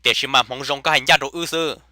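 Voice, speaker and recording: A man voicing a comic male cartoon character who chatters in a rapid, emphatic way. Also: medium pitch, steady tone, bright, forceful tone, no echo, studio quality. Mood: chatty.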